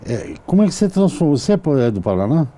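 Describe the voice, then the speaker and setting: A man's voice: fairly high pitch, big pitch swings, quick pace, dark tone. A man hosting a television interview, asking long, rambling questions that wander through side remarks. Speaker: TV interviewer and host. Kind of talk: casual TV interview.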